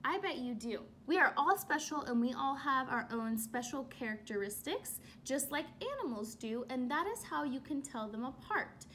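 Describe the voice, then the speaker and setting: A woman's voice: high voice, expressive, normal speed, hardly any pauses, fairly bright tone, a little hiss or hum. A young woman teacher reading aloud to young children and asking them questions, stressing and stretching key words. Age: young adult. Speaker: elementary school teacher. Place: small room at home, indoors.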